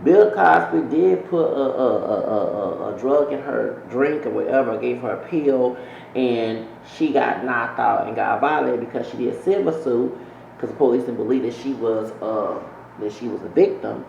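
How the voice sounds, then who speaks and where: Gravelly man rambling in an echoey room. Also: medium pitch, moderate pace, darkish tone, highs cut off, slight background noise. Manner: rambling, self-correcting, opinionated. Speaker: channel host. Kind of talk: casual commentary to camera.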